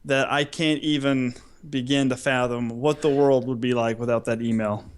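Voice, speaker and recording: A man's voice: mid-range voice, steady tone, normal speed, clean tone. A man co-hosting a podcast in casual, jokey chat, with a goofy, exaggerating way of talking. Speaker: podcast co-host.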